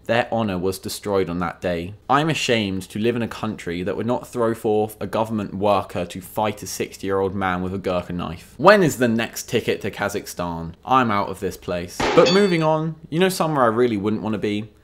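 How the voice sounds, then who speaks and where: A man's voice: lowish voice, moderate pace, hardly any pauses. Sarcastic young English man vlogging. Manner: sarcastic, mock-outraged. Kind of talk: comedic news commentary.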